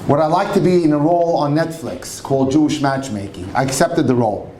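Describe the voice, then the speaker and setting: A man's voice: on the high side, fairly quick, a little mellow, a little hiss or hum. A man in his sixties, a rabbi born in Egypt, telling his life story to an audience in a conversational storytelling manner. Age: 60s. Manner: storytelling, conversational with Hebrew terms. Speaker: rabbi and lecturer. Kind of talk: personal talk to an audience. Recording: echoey hall with faint ambience.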